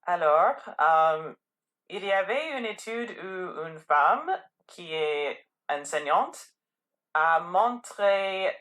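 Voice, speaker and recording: Bright-voiced, halting male interviewer. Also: high-pitched, lively intonation. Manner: hesitant, halting phrasing. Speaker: podcast host, interviewer. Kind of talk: conversational interviewing.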